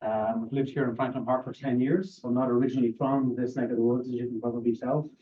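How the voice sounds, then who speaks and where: A man's voice: mid-range voice, flat delivery, warm tone. Irish man in his forties, courteous applicant, far from the mic on a tinny line. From Ireland. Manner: measured and courteous. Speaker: board applicant. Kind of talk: formal interview answers. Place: council meeting room. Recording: tinny, distant council-room audio.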